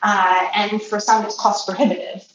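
A woman who grew up speaking Polish, answering interview questions as a healthcare professional in a measured, explanatory way. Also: mid-range voice, flat delivery, lo-fi sound, crowd noise. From Poland or Polish-speaking family. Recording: roomy, distant mic, faint noise.

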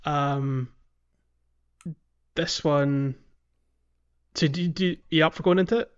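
A man's voice: on the high side, takes it slowly, clean tone, highs cut off. A man giving casual, chatty gaming commentary as a podcast host, telling things informally.

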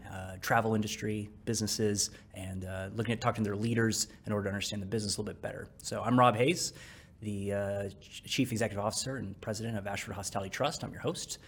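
A middle-aged man hosting a podcast, asking questions in a relaxed, conversational way. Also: on the low side, fast. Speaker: podcast host. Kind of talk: casual interview hosting.

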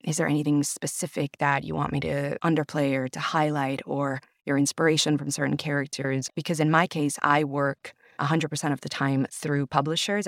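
Lively Italian-native woman narrator. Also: low voice, no room sound, clean studio sound. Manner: enthusiastic, effusive, frequent "you know". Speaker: audiobook narrator and actor, interview guest. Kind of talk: conversational podcast interview.

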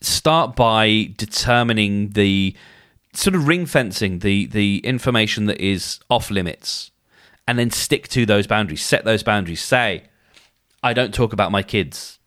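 Hoarse man chatting on a podcast. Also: lowish voice, unhurried, a bit bright, no room sound, studio quality. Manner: chatty, thinking aloud with frequent restarts. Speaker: podcast host. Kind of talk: casual solo podcast advice.

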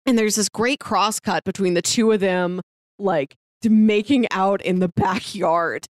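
A woman's voice: medium pitch, a little slow, no echo. Enthusiastic woman chatting casually, dry close sound. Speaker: podcast co-host. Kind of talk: casual discussion of a TV drama.

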